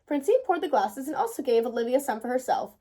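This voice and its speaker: High-pitched, animated woman reading a storybook. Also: fast. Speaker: storybook reader. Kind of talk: expressive children's read-aloud narration.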